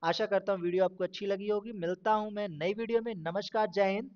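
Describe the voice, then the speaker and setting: A man's voice: high voice, fairly even intonation, fast talker, a little mellow, muffled recording. A man hosting a screen-recorded shopping tutorial, speaking in a casual, instructive way and mixing English words into his talk. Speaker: YouTube channel host.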